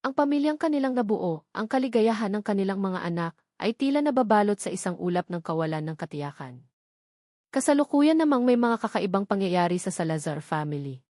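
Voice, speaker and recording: A woman reading a scripted dramatic story as narrator, in a measured, solemn storytelling delivery. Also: medium pitch, very animated, dark tone, dry recording, studio quality.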